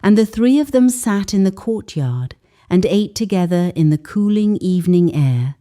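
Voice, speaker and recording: A woman narrating a scripted dramatic story in a solemn, measured manner with deliberate pauses for emphasis. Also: medium pitch, lively intonation, mellow.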